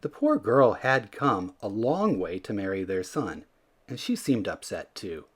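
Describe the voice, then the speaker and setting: A man narrating a children's storybook aloud in an expressive, theatrical way, leaning into the emphatic words. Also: on the low side, measured pace, slightly rough. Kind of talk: animated read-aloud narration.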